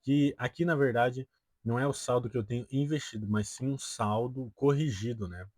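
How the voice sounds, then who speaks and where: A man's voice: medium pitch, normal speed, a little mellow, no echo, clean studio sound. A twenty-year-old man with a Brazilian accent explains things casually to his viewers as a video host, often tagging his sentences with a check-in question. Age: 20 years old. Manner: peppers sentences with tag questions. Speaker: personal finance video host.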